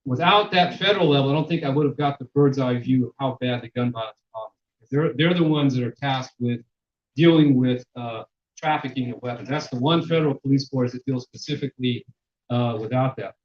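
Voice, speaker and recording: A man giving a public lecture as a sociologist, with a loud voice and many fillers and restarts. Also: mid-range voice, fairly quick. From Mexican-American. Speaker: sociologist presenter. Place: lecture room. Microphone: handheld microphone, then none. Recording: echoey hall, dull sound.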